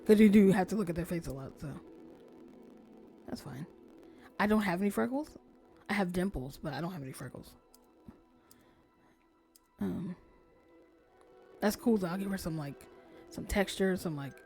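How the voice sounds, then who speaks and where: A woman streamer with a lowish voice chats casually into her desk mic as she plays, often trailing off soft and close to a whisper. Faint game music sits under her talk. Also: moderate pace, pauses often. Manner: chatty, soft-spoken, often drops to near whisper. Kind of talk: casual gameplay commentary.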